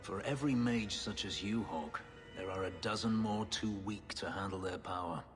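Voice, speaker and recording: An actor playing a bitter but loyal elven former slave in a video game, speaking gravely and guardedly. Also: male voice, low voice, normal speed, close to an everyday voice. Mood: bitter, brooding. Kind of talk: dramatic video game acting. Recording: long hall reverb, faint music behind.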